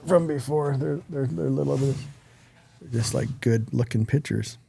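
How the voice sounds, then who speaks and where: A man's voice: medium pitch, measured pace, vocal fry, mellow, husky. A man co-hosting a podcast, chatting casually in an informal, rambling way. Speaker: podcast co-host.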